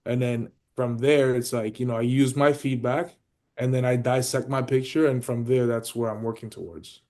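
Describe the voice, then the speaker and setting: A man speaks at an everyday middle pitch. He talks loosely and swears freely, padding his thoughts with "you know" and "like" as he explains himself in a casual podcast chat. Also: steady tone, moderate pace, dark tone. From Miami, United States. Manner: loose and profane, heavy on "you know" fillers. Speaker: podcast guest, pro bodybuilder.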